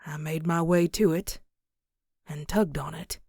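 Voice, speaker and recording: A woman's voice: deep voice, steady tone, no echo, studio quality, exaggerated voice. A woman voicing a frightened pony who narrates a horror comic dub in a suspenseful, dramatic way. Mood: hushed dread. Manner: slow, suspenseful, dropping into hushed breathy whispers. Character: terrified pony narrating a horror tale, dread-filled.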